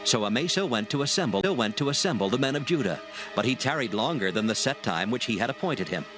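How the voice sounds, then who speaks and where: A man's voice: mid-range voice, lively intonation, fast talker. A man narrating a dramatized scripture from a script in a solemn style. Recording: music behind the voice.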